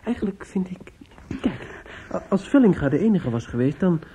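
Earnest young suitor, radio play, soft dark timbre, gentle halting delivery, old recording with slight noise. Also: male voice, sits high, big pitch swings. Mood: shaken, soothing. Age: young adult. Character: earnest, devoted young student in love. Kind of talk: Dutch radio play acting.

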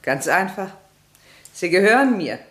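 A cool, composed society lady in a crime radio drama. Her voice is on the low side with wide, swooping intonation, arch and faintly mocking. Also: sing-song, unhurried, fairly bright tone. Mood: coolly self-assured. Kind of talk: German crime radio play acting.

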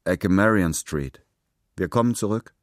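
Casting: male narrator as big swaggering young man, cocky and curt, low voice, dry close sound. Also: a little slow, no echo.

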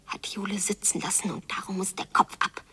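Breathy, wounded young girl. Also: mid-range voice, little pitch movement, fairly quick, in a whisper. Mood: whispered grim longing. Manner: hushed, echoing repeated phrases, drawn out. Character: Jule, wounded young daughter, secretive, longing, vengeful. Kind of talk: literary radio drama, whispered inner monologue.

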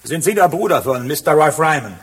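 An actor playing a polite but persistent police constable, formal and official, in a German radio crime drama. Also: male voice, on the high side, animated, fairly quick, slightly rough. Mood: formal, insistent.

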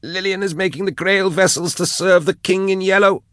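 A man voicing a tormented, devout woman captive in an audiobook, her words strained, halting and unwilling as she is forced to confess. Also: fairly bright tone, no room sound, studio quality. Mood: pained, pleading. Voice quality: strained, high-pitched. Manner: tight, unwilling, halting, whining. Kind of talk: dramatised audiobook dialogue.

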